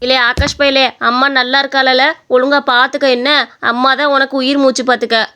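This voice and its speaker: Woman doing rustic old granny in a story, scolds and haggles, talks fast. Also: high voice, level tone, bright, forceful tone, clear, resonant tone. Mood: chiding. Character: indignant old village granny haggling over prices.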